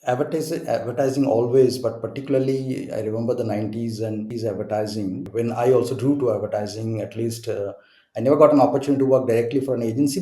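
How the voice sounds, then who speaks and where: A man's voice: mid-range voice, mellow. A man hosting a podcast interview, talking in a hesitant, searching way with many ums and uhs. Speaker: podcast host. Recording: roomy echo.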